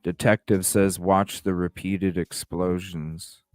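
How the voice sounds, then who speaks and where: Guy livestreaming, slow low-ish voice, informal and repeats himself, faint music behind him. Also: flat delivery, darkish tone. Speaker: livestream host. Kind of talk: casual live reaction commentary. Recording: roomy, music playing behind. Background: background music.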